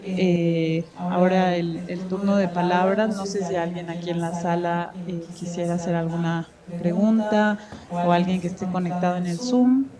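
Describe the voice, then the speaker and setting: A woman moderating a panel question session at a conference, speaking politely with pauses and fillers. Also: lowish voice. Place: university conference room with audience. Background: audience in the room.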